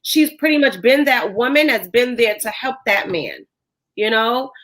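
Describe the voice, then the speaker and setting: Hoarse woman podcast host, loose chatty delivery with lots of fillers, a little higher voice. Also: animated, unhurried, a bit bright. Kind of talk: casual chat.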